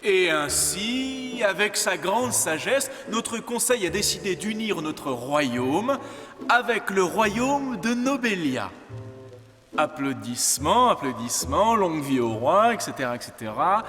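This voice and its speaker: A man's voice: sits high, big pitch swings, slow, fairly bright tone, big-room sound, soft background music, exaggerated voice. A man voicing a self-important royal giving a stilted, ceremonious address in a French cartoon.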